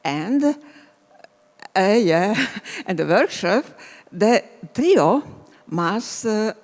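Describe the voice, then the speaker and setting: A composer from former Yugoslavia, a woman whose first language is Hungarian, speaking halting English with a Hungarian accent and searching for words. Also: mid-range voice, big pitch swings, a little mellow. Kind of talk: hesitant panel talk. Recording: reverberant hall.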